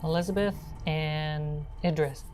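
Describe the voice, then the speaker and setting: A woman acts out a young girl stranded on a spaceship in a tabletop game. The voice is low, solemn and hesitant, over faint music. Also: fairly even intonation, measured pace, darkish tone. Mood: hesitant, matter-of-fact.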